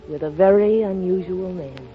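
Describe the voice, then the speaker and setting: A woman's voice: fairly low pitch, moderate pace, music in the background, lightly acted. An actress playing a bold, thrill-seeking young upper-class woman in a 1930s radio drama, with poised, clipped phrasing. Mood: romantic, guarded. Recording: dull old 1930s radio recording.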